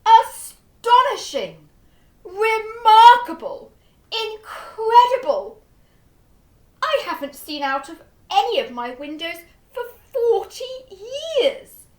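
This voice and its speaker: A woman reading aloud, voicing an excitable, blustering old male duke in a storybook who bellows his exclamations. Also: slow pace, echoey room, heavily acted. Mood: excited. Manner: booming exclamations.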